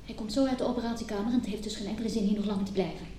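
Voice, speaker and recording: An actress playing a cold, possessive wife in a radio drama, curt and dismissive. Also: female voice, steady tone, racing, dark tone, lightly acted. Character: cold, possessive wife guarding her hospitalised husband. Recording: distant, echoey room with faint rumble.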